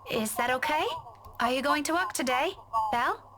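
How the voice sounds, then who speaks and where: A man voicing a polite, concerned young woman in a visual novel, in a high falsetto, with noise behind the voice. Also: normal speed. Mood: concerned, calling out. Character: prim, concerned young woman checking on her neighbour. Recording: clear background noise.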